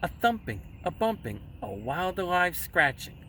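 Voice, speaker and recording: A man narrates a children's story in a slow, theatrical voice that swings widely in pitch. His phrasing is emphatic and deliberate, and the recording is a little distant with faint noise behind it. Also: sing-song, sharp tone. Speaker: storyteller reading a children's book aloud.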